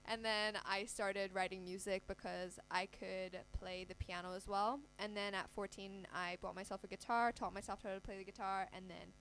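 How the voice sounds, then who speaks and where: A seventeen-year-old singer-songwriter from Thailand, friendly and upbeat, laughing easily as a radio guest. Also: female voice, medium pitch, fairly even intonation, normal speed, hardly any pauses, fairly bright tone, a little hiss or hum, poor audio. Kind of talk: casual radio interview. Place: campus radio studio.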